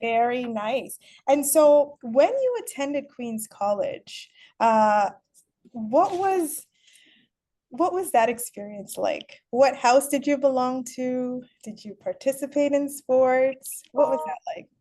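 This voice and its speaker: A woman's voice: sits high, lively intonation, slow, warm tone. Upbeat Guyanese-born woman interviewer. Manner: chatty, upbeat, laughs often. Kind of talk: casual recorded interview.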